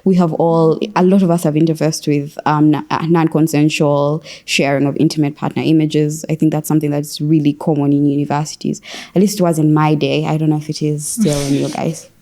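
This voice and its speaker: A woman's voice: low voice, little pitch movement, a little fast, warm tone. A young woman hosting a conversational panel podcast, wordy and rephrasing her questions as she goes. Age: young adult. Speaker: podcast host.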